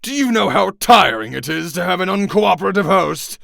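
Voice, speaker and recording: A man voicing a cruel, alien-possessed middle-aged man who snarls threats and shouts. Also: high-pitched, lively intonation, a little fast, vocal fry, no echo, clean studio sound, pitched above the performer's own voice, heavily acted. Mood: cold threatening.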